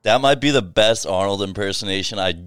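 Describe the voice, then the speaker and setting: A man's voice: fairly low pitch, fairly quick, a bit bright, clear, resonant tone, no echo, studio quality. A man hosting a podcast, asking questions in a casual, loose way with free swearing. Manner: casual, swears freely, laughs often. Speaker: podcast host. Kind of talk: casual interview chat.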